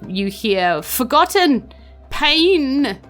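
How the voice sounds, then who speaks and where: A woman's voice: high voice, sing-song, a bit bright. New Zealand woman narrating, music behind. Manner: conversational, halting improvised descriptions. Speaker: game master of a tabletop game podcast. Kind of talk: improvised tabletop game narration.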